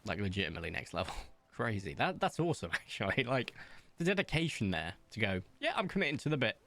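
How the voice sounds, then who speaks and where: Low-voiced man, rambling chat. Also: very animated, quick pace, a bit bright. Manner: rambling, self-mocking, hesitant with many ums. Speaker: streamer. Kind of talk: casual chat reading viewer comments while playing.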